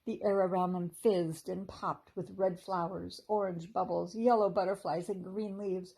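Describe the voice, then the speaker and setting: A woman teacher reading a children's chapter book aloud in a gentle storytelling manner. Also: on the low side, fairly even intonation, a little slow, vocal fry, slightly rough.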